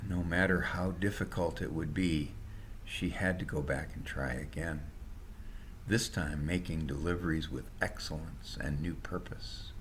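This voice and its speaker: A man narrates a written story in a low register. His delivery is slow and measured. Some faint noise sits behind the voice. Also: steady tone, poor audio. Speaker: author reading own story. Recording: noticeable background noise.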